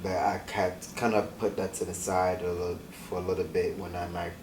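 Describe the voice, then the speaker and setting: A young man from Ghana with a very low voice answers questions softly and haltingly, pausing on ums. He is heard from a distance in an echoey room. A clear hiss sits under the recording. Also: flat delivery. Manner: soft-spoken, hesitant, many ums. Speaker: guest artist. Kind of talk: interview answers.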